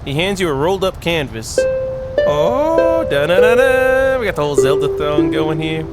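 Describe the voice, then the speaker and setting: A man's voice: a little high, big pitch swings, moderate pace. A man streaming a visual novel, reading it aloud and playfully reacting with his own commentary. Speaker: video game streamer. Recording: music playing behind the voice. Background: game music.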